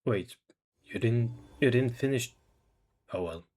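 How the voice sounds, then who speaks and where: Very low-voiced woman streamer. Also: takes it slowly, warm tone, breathy edge. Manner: informal, reactive, swearing. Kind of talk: casual game playthrough reactions.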